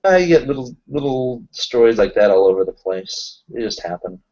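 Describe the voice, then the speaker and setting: A man's voice: medium pitch, expressive, normal speed, a little mellow. Self-deprecating man, dull lo-fi sound. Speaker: player in a tabletop roleplaying game. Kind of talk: casual improvised in-character chat.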